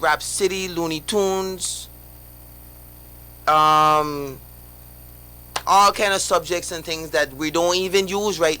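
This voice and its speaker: A man's voice: fairly high pitch, pushed and bright, low-quality recording. A young man, a guest on a live radio interview, talking passionately in rhetorical questions with many restarts.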